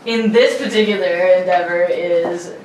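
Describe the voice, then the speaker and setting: A woman's voice: lowish voice, fairly even intonation, unhurried, recorded from a distance. Jokey woman hosting in an echoey room. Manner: laughs readily, jokey. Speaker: host and announcer of a script-reading show. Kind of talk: casual group banter. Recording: roomy, off-mic, noticeable background noise.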